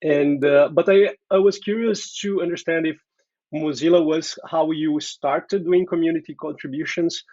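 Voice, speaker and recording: A man's voice: a little high, fairly even intonation, normal speed, darkish tone, no echo. A man from Brazil with a Brazilian Portuguese accent, hosting an interview in a friendly, encouraging manner. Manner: friendly, encouraging, many back-channel murmurs. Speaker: host. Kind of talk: casual interview chat. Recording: dull dry lo-fi mic.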